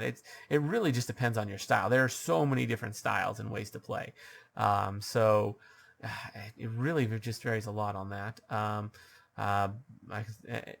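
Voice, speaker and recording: A man's voice: lowish voice, gravelly, fairly bright tone. A man giving advice as a dungeon master, talking off the cuff with lots of ums and restarts, his voice a bit scratchy. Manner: halting, many ums and uhs, restarts. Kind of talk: unscripted advice monologue.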